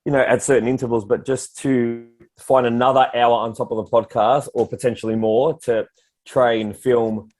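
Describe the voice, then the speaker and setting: A man in his mid-thirties with an Australian accent, co-hosting a coaching podcast in relaxed, easygoing chat. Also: medium pitch, moderate pace. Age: 30s. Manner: relaxed, easygoing, many "like" and "you know". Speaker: prep coach podcast co-host.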